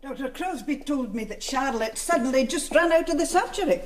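Stern female manager, British accent, formal, guarded, firm, lively pitch movement, period radio play. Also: fairly quick, a little hiss or hum. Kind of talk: British radio drama acting.

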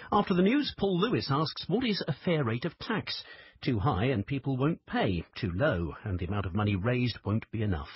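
A man reads radio announcements from a script. His low voice moves in a lively way and is very husky. The sound is dry. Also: dry recording, lo-fi sound.

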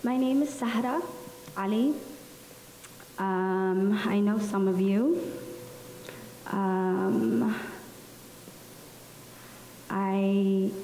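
A young woman from Somalia, speaking on a panel into a handheld microphone, telling her story slowly with many pauses and fillers. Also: medium pitch. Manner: slow, many ums, soft laughs. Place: large room at a public panel event. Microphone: handheld mic. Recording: echoey hall with faint hiss.

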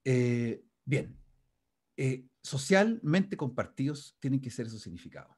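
A man's voice: mid-range voice, big pitch swings, measured pace, darkish tone, slightly rough. A man giving a university lecture over a video call. He rambles with many restarts and fillers and keeps checking in with the class. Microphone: video call microphone.

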